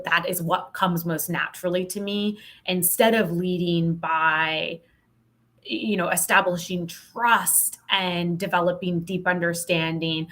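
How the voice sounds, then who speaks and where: A woman with a slightly low voice talks as an interview guest. She tells her story conversationally and frankly. Her phrasing is reflective, with "like" dropped in often. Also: a bit bright. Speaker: podcast guest, sales coach.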